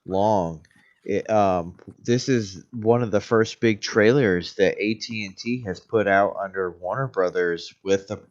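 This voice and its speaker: A man in his late thirties co-hosting a casual chat. He talks haltingly, with many "um"s and restarts. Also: lowish voice, muffled recording. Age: 30s. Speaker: podcast co-host.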